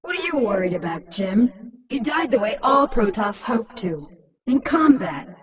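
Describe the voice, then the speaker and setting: A woman's voice: on the high side, big pitch swings, unhurried, far from the mic, over a noisy crowd. A woman playing a ruthless alien swarm queen in a video game, commanding and scornful in manner. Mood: mocking. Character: ruthless alien swarm queen, transformed human, imperious and taunting.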